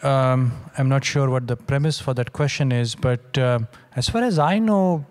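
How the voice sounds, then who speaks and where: Measured male doctor, medium-pitched. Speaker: physician panelist. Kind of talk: careful explanatory panel answer. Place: large conference hall stage. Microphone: handheld microphone.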